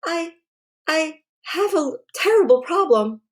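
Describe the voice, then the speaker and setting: A woman plays a worried young schoolgirl from a children's storybook. Her voice sits high and moves slowly, with sniffles and halting, pleading phrases, as if tears are never far away. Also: animated, exaggerated voice. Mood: distressed. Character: tearful worried fifth-grade girl with cats.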